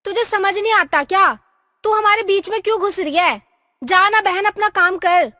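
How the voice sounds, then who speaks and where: A woman's voice: very high voice, normal speed, bright, forceful tone, no echo, studio quality, long reverb tail, pitched above the performer's own voice. A bratty, snappy young sister, voiced by a woman. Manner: snappy, rude.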